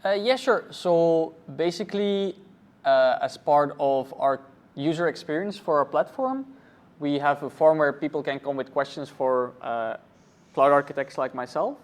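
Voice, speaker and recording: A man from Belgium answers questions about his technical work. He speaks in a considered, halting way, with frequent ums. His voice sits a little higher than most men's. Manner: thoughtful, many ums and uhs. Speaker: cloud architect, interview guest. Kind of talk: conference interview.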